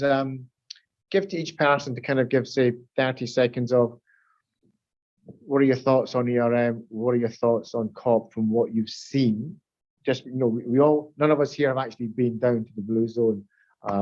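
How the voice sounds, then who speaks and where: A man's voice: medium pitch, darkish tone, highs cut off. A man with a Glaswegian Scottish accent, hosting a webinar panel in a casual, chatty way with loose restarts, heard over a video call. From Glasgow, Scotland. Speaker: webinar host.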